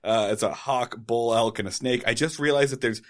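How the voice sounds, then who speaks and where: A man's voice: mid-range voice, moderate pace. A man co-hosting a casual podcast, recapping a sitcom episode with jokes and asides. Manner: animated, jokey recapping of an old sitcom episode. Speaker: podcast co-host.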